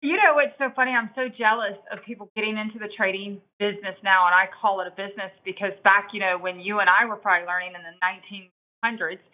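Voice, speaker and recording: A woman's voice: mid-range voice, fairly even intonation, fast. A woman co-host speaks with chatty enthusiasm, repeating phrases as she builds to her question. Her voice sits mid-range and comes through thin, like over a phone line. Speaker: podcast co-host. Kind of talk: interview questions.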